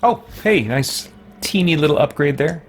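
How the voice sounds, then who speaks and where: A man's voice: a little high, expressive, normal speed. A man hosting a roleplay let's play, improvising commentary in a musing, playfully pompous way. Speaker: gamer, livestream host. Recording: clear noise behind the commentary.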